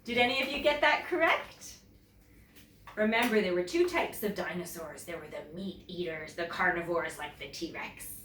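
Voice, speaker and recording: A woman from Canada reading to children as a teacher, telling a story expressively and deliberately and putting questions to her listeners. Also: lowish voice, fairly bright tone. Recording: roomy, distant mic, faint noise.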